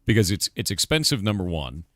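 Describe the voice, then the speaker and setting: A man's voice: low-pitched, big pitch swings, fairly quick, bright, forceful tone, no room sound, studio quality. A man hosting a stream, chatting casually and enthusiastically with a guest while playing a game. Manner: enthusiastic, talks while gaming. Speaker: streamer host. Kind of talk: casual interview chat.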